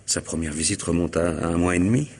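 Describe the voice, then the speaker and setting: An actor playing an anguished, disillusioned man who feels hunted, halting and brooding, in a French radio mystery drama. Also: low voice, darkish tone. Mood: agitated. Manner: halting, repeats words, brooding.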